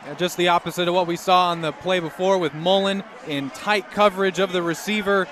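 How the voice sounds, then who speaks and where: Guy doing football color commentary, pretty high voice, chatty breakdown of plays, bit of stadium noise. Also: fairly even intonation, a little fast. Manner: conversational, explanatory. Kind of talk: live sports analysis. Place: outdoor stadium broadcast position. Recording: faint outdoor stadium noise.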